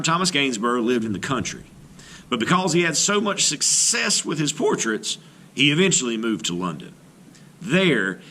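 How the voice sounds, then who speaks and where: Bright, lively male read-aloud teacher. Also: medium pitch, slight background noise. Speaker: art teacher and host. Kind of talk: read-aloud lesson narration for children.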